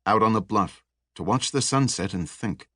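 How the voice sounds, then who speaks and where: A man's voice: lowish voice, lively intonation, normal speed, fairly bright tone, no echo, clean studio sound. A man voicing a restless, learned male researcher in an audiobook, brooding and wry, with a lecturing manner. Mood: weary, wry.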